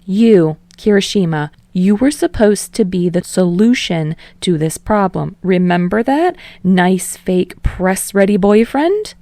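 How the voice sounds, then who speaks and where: A woman's voice: mid-range voice, expressive, takes it slowly, hardly any pauses, dark tone, no room sound. A woman voicing an unflappable, wry talent manager, a man who speaks with pointed, exasperated emphasis in a story reading.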